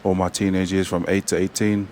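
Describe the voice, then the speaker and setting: A young man with a New Zealand accent, a rugby player chatting as a guest in a casual interview, halting and full of fillers and restarts. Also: sits low, little pitch movement, a little mellow, a little hiss or hum.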